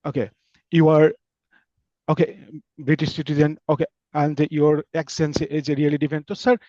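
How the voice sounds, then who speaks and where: A man's voice: on the high side, flat delivery, warm tone, no echo. Hesitant Bengali man, dull dry sound. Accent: Bangladeshi Bengali. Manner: hesitant, halting English with many fillers. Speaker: English learner. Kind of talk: casual conversation.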